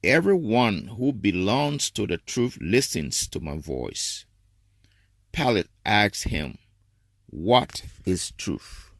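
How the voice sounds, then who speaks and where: Male reader for solemn scripture, slow and expressive, close dry sound. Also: lowish voice, no echo. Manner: deliberate, expressive phrasing. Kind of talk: solemn dramatic scripture reading at a church service.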